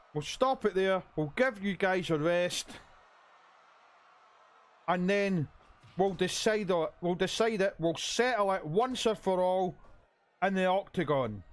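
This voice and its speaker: A man with a hoarse voice commentating a video game wrestling match and hosting his channel, with an announcer's style. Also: slow, long pauses. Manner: hype announcer, booming when calling the finish.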